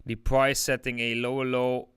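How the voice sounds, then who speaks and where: A man's voice: medium pitch, completely flat delivery, normal speed, fairly bright tone, clear, resonant tone, dry recording, studio quality. A young man with a Dutch accent hosting a casual live crypto stream, talking through charts with lots of filler words. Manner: chatty, many fillers like "like" and "yeah". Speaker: crypto livestream host.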